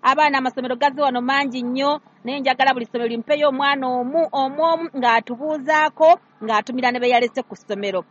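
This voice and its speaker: A woman's voice: sits high, fast talker. A Ugandan woman hosting radio on location, presenting and interviewing at a live event. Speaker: radio host. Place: live event at a church gathering, ambience behind. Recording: dull sound with background noise.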